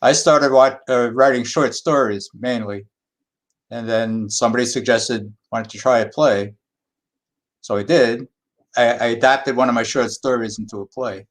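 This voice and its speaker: A man's voice: medium pitch, steady tone, normal speed. A man speaking casually as a playwright on a video-call panel, unassuming and a bit hesitant.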